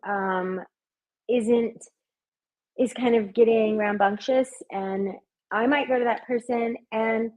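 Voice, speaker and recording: A woman working as a hypnotist, talking in an interview with a soft, soothing voice. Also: a little high, muffled recording. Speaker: hypnotist and party performer. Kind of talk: conversational interview answers.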